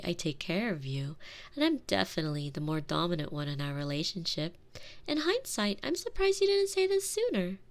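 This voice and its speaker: A woman acts out a tender, protective girlfriend in intimate binaural ASMR. Her pace is slow and unhurried, with a crackly fry at the edges and pitch that swings softly up and down. She comforts and dotes, often sinking into a whisper. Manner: soothing, doting, breathy murmurs dropping often into whispers. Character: tender, protective, gently dominant girlfriend soothing her frightened partner.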